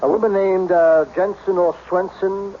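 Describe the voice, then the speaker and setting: A man's voice: high-pitched, fairly even intonation, a little slow. A man playing a wealthy, impatient businessman in a radio mystery, curt, imperious and easily exasperated. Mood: brusque, puzzled. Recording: dull, noisy old radio recording.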